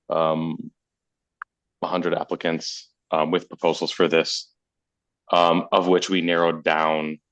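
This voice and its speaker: A man who is a muralist, hoarse from being under the weather, presenting to a committee and pausing often to search for words. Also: low voice, no echo. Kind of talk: meeting presentation.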